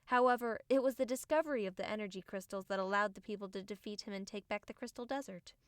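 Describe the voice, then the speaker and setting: A woman's voice: medium pitch, quick pace, smooth, no room sound, studio quality, lightly acted. A woman playing a serious, strategic young female fighter in an audiobook, explaining things matter-of-factly. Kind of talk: audiobook character acting.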